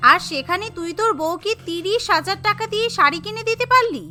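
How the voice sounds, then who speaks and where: A woman's voice: very high-pitched, expressive, quick pace, sharp tone, soft background music, exaggerated voice. A woman voicing a spiteful, jealous young college-age sister-in-law in a cartoon family story, sulky, taunting and manipulative. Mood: sulky, hurt. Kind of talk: animated family story dialogue.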